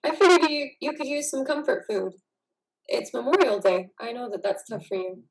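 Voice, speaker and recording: A woman playing a gentle, affectionate, supportive wife in an amateur play reading. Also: fairly high pitch, expressive, moderate pace, far from the mic, low-quality recording. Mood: tender, coaxing. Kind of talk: amateur reader's theater over video.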